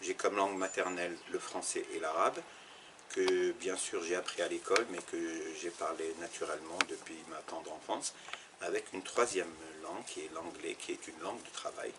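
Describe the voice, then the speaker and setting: Deep-voiced man, explaining, noisy background. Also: steady tone, moderate pace. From Algeria. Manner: thoughtful, explanatory, with fillers and restarts. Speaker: Arabic teacher interviewed. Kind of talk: interview answers.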